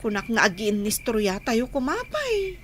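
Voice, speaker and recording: A woman playing an earnest, gentle young village woman in love in an Ilocano radio drama. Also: a little high, huge pitch swings, normal speed, a little hiss or hum. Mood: mildly reproachful.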